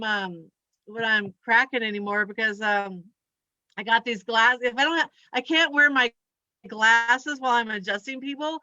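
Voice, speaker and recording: A woman performs loose, conversational stand-up in a bright, forward voice. She talks haltingly, drifting between thoughts and giggling at her own remarks. The sound is dry and slightly dull, like a lo-fi recording. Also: on the high side, no echo. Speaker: stand-up comedian and chiropractor. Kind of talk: rambling conversational stand-up set.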